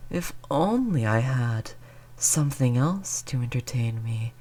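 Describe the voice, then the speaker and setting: A predatory aristocratic vampire acted out by a performer in a roleplay audio drama. The delivery is very unhurried and theatrical, silky and taunting, drawing out each menace with seductive relish. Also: mid-range voice, animated, dark tone. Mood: coolly persuasive. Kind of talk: scripted roleplay audio monologue.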